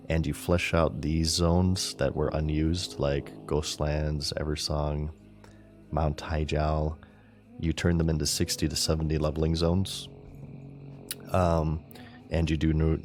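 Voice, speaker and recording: A man streaming a game and chatting casually with viewers, rambling and thinking aloud, his voice scratchy and clearing his throat. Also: very deep voice, darkish tone. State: scratchy, failing voice.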